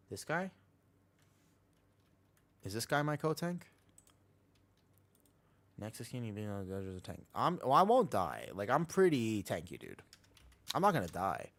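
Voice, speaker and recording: A man chatting casually and slangily as he livestreams an online game, talking to his viewers and teammates in a laid-back way. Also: lowish voice. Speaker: live streamer playing an online game. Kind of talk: casual livestream chat while gaming.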